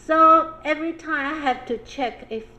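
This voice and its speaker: A woman public health official, speaking on a panel in an animated way, with laughing asides and phrasing. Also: high-pitched, moderate pace, lo-fi sound. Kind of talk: roundtable panel discussion.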